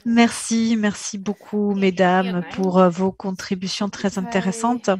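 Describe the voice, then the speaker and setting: A woman moderating an online panel discussion, speaking hesitantly with frequent filler pauses and restarts. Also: medium pitch, steady tone, crowd noise. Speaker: forum moderator.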